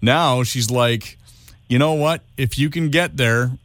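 This is a man in his forties, chatting on a radio show at a relaxed pace with plenty of ums and restarts. His voice sits at an ordinary middle pitch, and the studio sound is dry and close. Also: slow pace, no room sound. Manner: relaxed, hesitant, many fillers and restarts. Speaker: radio co-host. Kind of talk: casual on-air chat.

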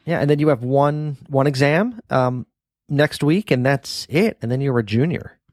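A middle-aged man hosts a casual podcast, teasing in a playful way and circling back over his own words. His voice sits at an ordinary pitch. The recording is dry and close. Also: moderate pace, darkish tone, no room sound. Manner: playful teasing dad, rambling restarts. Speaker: podcast host, father.

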